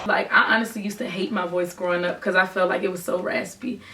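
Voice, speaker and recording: Casting: young woman, naturally raspy, earnest casual vlog talk, a bit off-mic over soft music. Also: lowish voice, fairly even intonation, fast. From Virginia, United States. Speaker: rapper and vlogger. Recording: voice from a distance with faint music.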